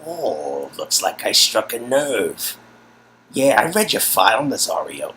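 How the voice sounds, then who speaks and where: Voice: medium pitch, very animated, sharp tone, slight background noise, full character voice. A game story reader voicing a mocking prison guard who drawls menacing taunts at a captive. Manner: mocking, drawn-out menacing delivery.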